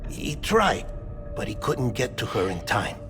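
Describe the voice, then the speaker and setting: An actor playing a calm, talkative artificial man built from cadaver parts, speaking in a measured, confiding way in a game cutscene. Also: medium pitch. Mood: sombre. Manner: measured, slow, confiding delivery. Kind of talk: video game cutscene acting. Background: game score music.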